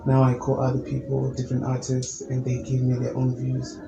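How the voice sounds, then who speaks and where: A young man with a low, gravelly voice speaks in an even, flat tone. He answers calmly and at length, as a musician being interviewed. The room echoes, and a steady noise sits behind him. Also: muffled recording. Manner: calm, measured, explains at length. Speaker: musician guest.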